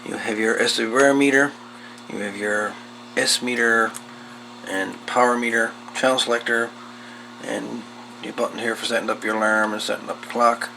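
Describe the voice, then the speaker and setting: A man giving a casual, folksy, hands-on repair walkthrough, talking in short, unhurried pieces. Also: medium pitch, little pitch movement, creaky, rough recording. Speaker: host of a radio repair channel. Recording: loud background noise with clicks.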